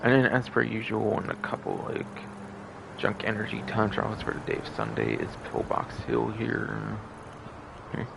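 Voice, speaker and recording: A man with a gravelly voice giving rambling, repetitive game commentary as a livestreamer. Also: fairly low pitch, big pitch swings, moderate pace, noisy background. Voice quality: gravelly, creaky. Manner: repetitive, circling back over phrases.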